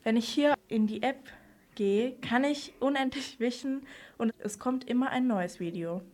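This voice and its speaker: Higher-voiced woman explaining on radio. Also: a little slow, darkish tone. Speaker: presenter on a children's radio programme. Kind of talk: scripted explanatory dialogue.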